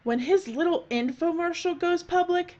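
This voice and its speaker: A woman acting a shrewd, bossy documentary crew leader in an audio drama, brisk, commanding and dryly polite. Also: very high-pitched, a little mellow, lo-fi sound. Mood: scheming.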